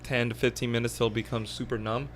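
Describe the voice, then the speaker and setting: A man's voice: mid-range voice, little pitch movement, fast. A man co-hosting a podcast in casual chat, joking around and tripping over his words. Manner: playful, jokey, stumbles over words. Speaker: podcast co-host.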